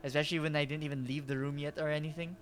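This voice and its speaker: Streamer, young man, Filipino accent, fairly high voice, laughs a lot while rambling. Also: fairly even intonation, rapid-fire, a little hiss or hum. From Philippines. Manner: chatty, rambling, self-deprecating, laughs a lot. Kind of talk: casual game-stream chat.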